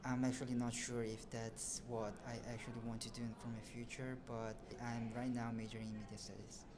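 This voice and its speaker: A young man in his twenties from Brazil, a student answering interview questions in a relaxed, hesitant way, often pausing to search for words. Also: medium pitch, a crowd in the background. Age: 20s. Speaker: student guest.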